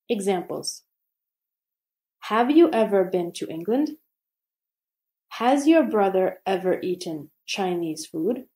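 A woman reading a scripted grammar lesson aloud, slowly and deliberately with pauses between phrases. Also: mid-range voice, dark tone. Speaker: English teacher.

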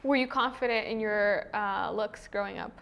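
A woman's voice: medium pitch, moderate pace, vocal fry, fairly bright tone. A young woman hosting a casual interview, asking her guest questions in an informal conversational way. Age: young adult. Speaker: interview host. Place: small furnished indoor room.

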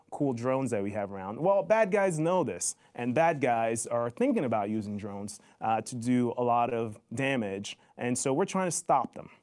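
A man's voice: medium pitch, darkish tone. Man explaining simply, step by step. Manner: simple step-by-step explaining, posing questions and answering them. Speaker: Air Force flight test presenter. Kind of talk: explanatory live online talk for schoolchildren. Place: indoors on a video call. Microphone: video call microphone.

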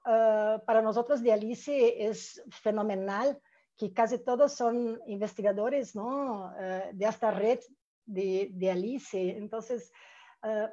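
A middle-aged woman with a Brazilian accent, a native Portuguese speaker, presenting academically and haltingly on a dull-sounding video call. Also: a little high, warm tone. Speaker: academic panelist, researcher. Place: room with bookshelves indoors. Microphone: earphone mic on video call.

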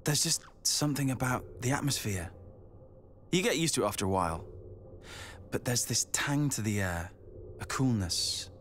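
Young male adventurer's companion played by a man, hesitant, inquisitive, lively intonation, noisy ambience. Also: mid-range voice, moderate pace, pauses often, lightly acted. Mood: curious. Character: curious, unsure young man, time-travel companion. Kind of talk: audio drama acting.

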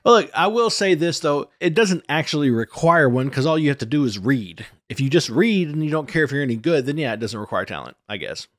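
A man in his forties hosting a reaction video, talking casually and emphatically into a close desk mic in a home studio, opinionated and animated. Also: on the high side, a little fast, few pauses, dry recording. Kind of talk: casual commentary reacting to a TV show. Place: small home studio room, indoors. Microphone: close desk microphone.

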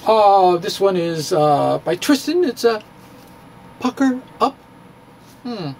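A middle-aged man giving a dramatic, comedic reading of a physics blog, halting and theatrical with emphatic pauses. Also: sits high, very animated, slight background noise. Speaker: blogger, stand-up physicist. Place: indoor room with bookshelves. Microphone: handheld-style microphone on stand, close.

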